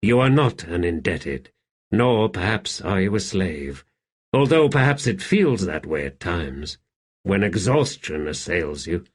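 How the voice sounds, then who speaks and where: A man voicing a shrewd slave in an audiobook, calm, deferential and measured, speaking quietly. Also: sits low, slightly rough, heavily acted. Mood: careful, deferential. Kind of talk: audiobook character acting.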